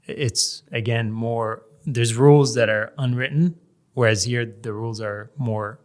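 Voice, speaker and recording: A man from Canada, a guest in a casual interview, talking in a measured way and often pausing to search for words. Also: medium pitch, fairly even intonation. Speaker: podcast guest, real estate developer.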